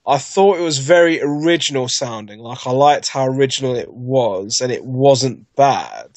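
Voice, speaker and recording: A man's voice: mid-range voice, normal speed, no echo. A man in casual chat, rambling with lots of fillers and restarts as a podcast guest. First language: not Japanese.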